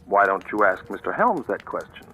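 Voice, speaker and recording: A man's voice: low voice, sing-song, a little fast, bright, forceful tone, heavily acted. A man acting a wry, jaunty sleuth in a 1940s radio thriller, with a sardonic, dryly formal, wordy delivery. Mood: guarded. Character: wry, jaunty male sleuth narrating his own story. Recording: vintage radio drama, music behind.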